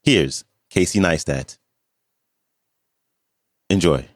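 Deep, slow-talking stuffy man hosting. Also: dry recording, clean studio sound. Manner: slow, measured delivery. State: stuffy from allergies. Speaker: podcast host. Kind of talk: scripted show introduction.

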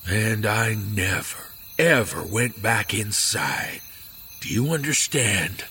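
An actor playing a grim, haunted middle-aged father in a horror audio drama, speaking gravely and haltingly. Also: fairly low pitch, lively intonation, sharp tone, a little hiss or hum, full character voice. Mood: stern, solemn. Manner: slow, grave, halting delivery. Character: grim, haunted father confiding a dark family secret.

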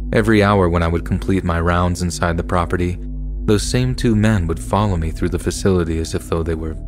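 Deep-voiced man narrating scary stories. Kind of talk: scripted narration of horror stories. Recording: music bed under voice. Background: background music.

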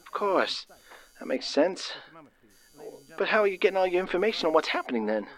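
A man's voice: sits high, very animated, brisk, low-quality recording. Actor as hesitant, lonely, frightened male caller. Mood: nervous, probing. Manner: hesitant, stammering, pleading. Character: lonely, frightened man phoning the operator. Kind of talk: 1940s radio drama acting.

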